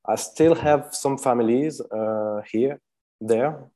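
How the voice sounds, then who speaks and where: A man from Switzerland, answering interview questions as a watchmaker guest. He speaks hesitantly, with many filler pauses and soft laughs. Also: medium pitch.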